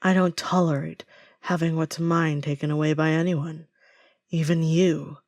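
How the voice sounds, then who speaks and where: A woman's voice: low-pitched, little pitch movement, measured pace, a little mellow, dry recording. A woman playing a possessive, menacing stalker lover in an audio roleplay, silky, smug and controlled with sinister endearments. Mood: coldly possessive.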